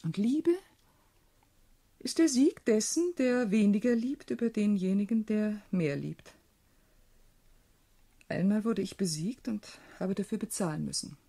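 An actress playing a shrewd, proud, self-possessed widowed sister in a German radio play, speaking deliberately and expressively. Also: female voice, medium pitch, expressive, creaky, mellow, breathy edge, exaggerated voice. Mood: self-satisfied.

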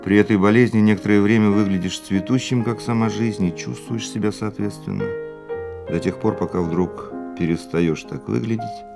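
An actor playing a fatalistic, world-weary racing driver in a Russian radio drama, speaking in a measured, reflective way. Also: male voice, on the low side, fairly even intonation, vocal fry. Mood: weary, philosophical. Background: music.

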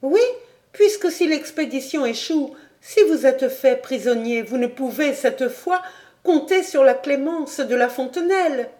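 A woman's voice: sits high, unhurried, a little mellow, voice pushed up. A woman voicing an anxious young noblewoman who pleads urgently, in a storybook reading. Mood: pleading. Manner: urgent, imploring.

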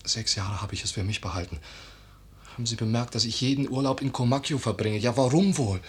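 An actor playing a shy, earnest young farmhand with a husky voice in a German radio play. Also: male voice, mid-range voice, brisk. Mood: confessing, earnest.

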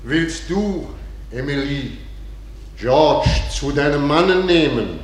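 A man's voice: on the high side, animated, takes it slowly, a little hiss or hum. An actor playing a solemn clergyman conducting a wedding in a radio play, intoning ceremonially in an echoey space. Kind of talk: German radio play acting.

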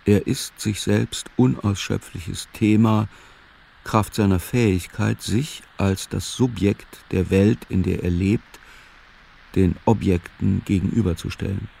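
Gravelly, brooding solitary man. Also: fairly low pitch, fairly even intonation, slow pace, dark tone, full character voice. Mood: pensive. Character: brooding solitary man musing over encyclopedia facts. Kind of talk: literary radio play monologue.

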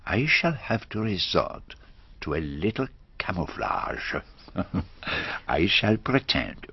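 An actor playing a courteous, shrewd detective, formal and deliberate, in a radio drama. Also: male voice, low voice, husky, no room sound, dull audio, full character voice. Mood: sly, deliberate. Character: courteous, shrewd foreign male detective, former policeman.

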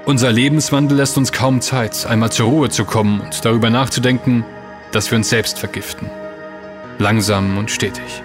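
A man's voice: mid-range voice, steady tone, moderate pace, over music. A man delivering scripted advisory narration, addressing the listeners directly.

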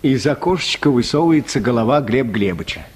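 A man's voice: mid-range voice, expressive, fairly quick, husky. A man reading scripted narration for a comic radio play as its storyteller.

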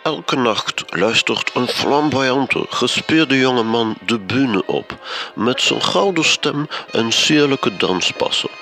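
A man's voice: mid-range voice, animated, takes it slowly, sharp tone, soft background music, exaggerated voice. A man playing a pompous, self-admiring storyteller, narrating a gothic radio drama in a florid, theatrical manner.

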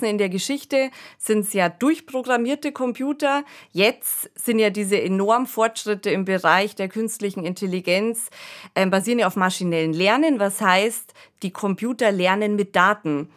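A woman hosting a podcast in a conversational, discussing style. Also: mid-range voice. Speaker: podcast host.

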